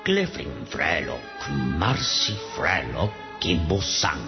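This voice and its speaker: A tiny, self-important monarch in a radio play. He declaims ponderously in a lowish voice that leaps dramatically up and down. Music plays behind the slightly dull recording. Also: huge pitch swings, very slow, exaggerated voice. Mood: imperious. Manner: declamatory, speaking an invented tongue. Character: pompous tiny male monarch of Lilliput.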